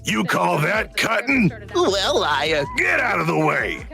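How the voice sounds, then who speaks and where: Man putting on a brash, high-pitched cartoon pitchman. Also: big pitch swings, measured pace, gravelly, pushed and bright, faint music behind, full character voice. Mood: brash, boastful. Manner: exaggerated hard sell. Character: brash cartoon car-ad character with a cutting gimmick. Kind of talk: commercial character voice.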